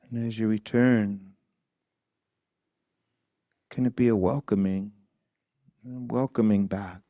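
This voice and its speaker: A man leading a guided meditation as a teacher, speaking softly and gently in short phrases with long, unhurried pauses. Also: lowish voice, steady tone, dry recording.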